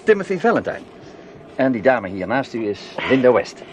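An actor in a Dutch radio play playing a courteous, inquisitive gentleman traveller with a formal, polite manner. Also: medium pitch, very animated, moderate pace, natural voice. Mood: gallant. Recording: aircraft engine noise behind.

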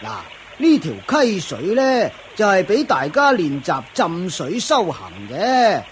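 A man playing a pompous, strict master of forest ascetics in a radio drama, lecturing with drawn-out grunts and fillers. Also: sits high, very animated, slight background noise, full character voice. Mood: stern lecturing. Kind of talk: Cantonese Buddhist radio drama acting.

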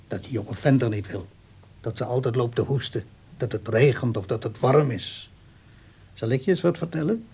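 A brooding old father in a radio drama. His voice is husky and mid-pitched. He talks in a halting, musing way, as if lonely. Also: darkish tone. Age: older. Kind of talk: radio play acting.

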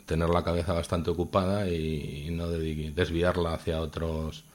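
A man with a low voice and a Madrid accent speaks as a guest in an informal radio interview. He picks his words in a halting, measured way. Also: level tone. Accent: Madrid Spanish. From Madrid, Spain. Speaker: interviewed guest, new radio show contributor.

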